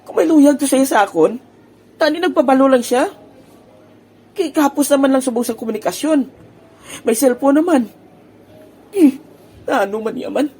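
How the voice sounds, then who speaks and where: A woman's voice: sits high, animated, moderate pace, pauses often, mellow. A woman acting a lovesick part in an amateur audio drama, playful and teasing, then heartbroken and pleading.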